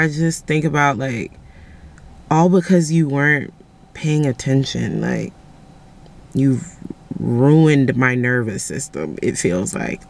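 A young woman talks casually to her camera, rambling through stories with lots of "like." She records herself at arm's length, first in a parked car and then at home in her kitchen. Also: extremely low pitch. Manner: chatty, rambling storytelling with frequent "like". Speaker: vlogger. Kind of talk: casual vlog chat to camera. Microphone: camera held at arm's length.

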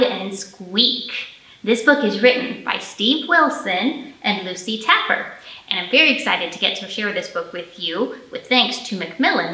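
A woman in her thirties leading a storytime for young children, speaking in simple, expressive phrases with plenty of questions. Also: mid-range voice. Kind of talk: storytime teaching, singing and picture-book reading for young children. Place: indoor library storytime room. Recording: roomy echo, faint music.

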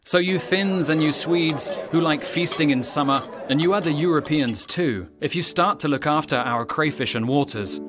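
A man's voice: a little high, normal speed, hardly any pauses, music in the background. A man narrating a rhyming educational tale in a playful, theatrical storytelling manner, speaking straight to the listener.

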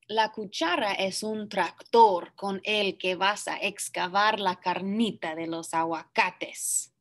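Slow-paced young woman reading a children's story. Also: fairly high pitch. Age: young adult. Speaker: teacher reading a picture book aloud. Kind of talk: children's story read-aloud with brief asides. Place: small indoor classroom.

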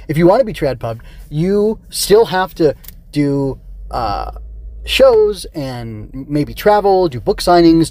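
A man's voice: fairly high pitch, warm tone. A middle-aged man hosting a solo podcast, talking casually and rambling with digressions. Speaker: podcast host. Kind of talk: casual solo review chat.

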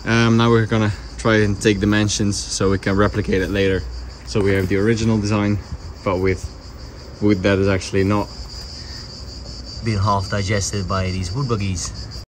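Young male vlogger, fairly low voice, informal explanatory delivery, handheld phone with faint background insect noise. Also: flat delivery, normal speed, poor audio. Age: young adult. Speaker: vlogger renovating a villa. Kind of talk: casual vlog narration to camera. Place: outdoors in an overgrown garden, some indoors. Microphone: handheld phone at arm's length.

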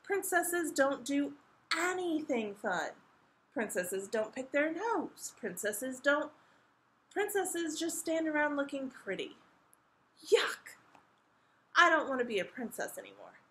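A woman's voice: on the high side, huge pitch swings, quick pace, few pauses, bright, forceful tone, full character voice. A woman voicing a stubborn, tomboyish young princess in a children's storybook, defiant and emphatic. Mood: exasperated, defiant. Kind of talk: children's storybook read-aloud.

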